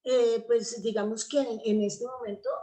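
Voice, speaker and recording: A woman's voice: a little high, fairly even intonation, unhurried, dark tone. Colombian woman answering in an interview. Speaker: interview guest, theatre practitioner.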